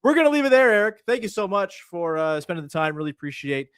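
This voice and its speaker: A man's voice: high voice, sing-song, quick pace, fairly bright tone, clear, resonant tone, dry recording, clean studio sound. A Canadian man hosting a sports podcast in an informal, chatty, slangy way. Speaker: podcast host.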